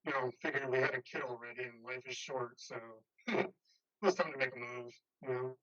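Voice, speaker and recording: Man playing an easygoing, halting working-class buddy. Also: mid-range voice, fairly even intonation, pushed and bright, lo-fi sound. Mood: friendly. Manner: casual, halting. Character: Homer, easygoing, loyal male construction worker buddy. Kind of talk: remote staged play reading.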